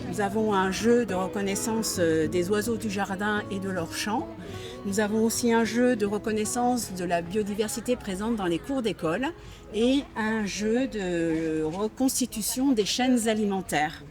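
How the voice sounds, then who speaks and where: A woman volunteering at an outdoor stand, presenting children's activities in a friendly, halting way. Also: medium pitch, over music. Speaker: volunteer at a bird-protection stand.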